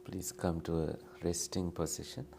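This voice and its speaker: A man leading a guided meditation as a teacher, speaking gently and soothingly in short phrases with long pauses between them. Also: deep voice, level tone, gravelly.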